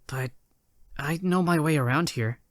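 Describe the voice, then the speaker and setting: A man's voice: on the high side, measured pace, dry recording, clean studio sound, voice pushed up, natural voice. A man voicing an earnest, eager young hedgehog hero in a dramatic audio story, with a youthful, hesitant delivery. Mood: earnest, hopeful.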